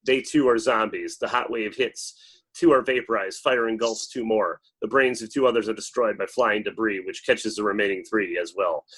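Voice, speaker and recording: A man's voice: on the low side, moderate pace, fairly bright tone, slightly rough. A man reading stage directions as dramatic scripted narration at a table read.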